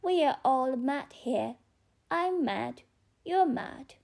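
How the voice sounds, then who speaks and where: A woman with a non-native English accent voicing a mad, playful talking cat, teasing and deliberate, in an audiobook reading. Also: high-pitched, expressive, vocal fry, a little mellow, exaggerated voice. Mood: playful, matter-of-fact.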